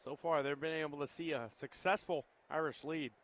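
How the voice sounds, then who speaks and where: A man's voice: a little high, steady tone, moderate pace, fairly bright tone. An American man giving color commentary and analysis on a live football broadcast.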